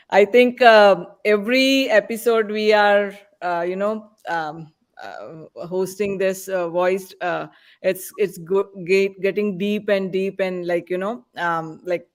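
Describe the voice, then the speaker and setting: A woman hosting a panel podcast, talking with many pauses and fillers. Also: medium pitch, fairly even intonation. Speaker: podcast host. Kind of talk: casual panel chat.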